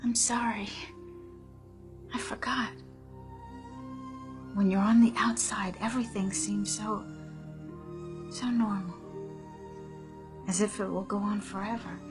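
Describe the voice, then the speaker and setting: An actress playing a wistful young store mannequin come to life in a radio drama, speaking in a dreamy, halting way. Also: female voice, medium pitch, fairly even intonation, lightly acted. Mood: wistful, dazed. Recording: music behind the voice.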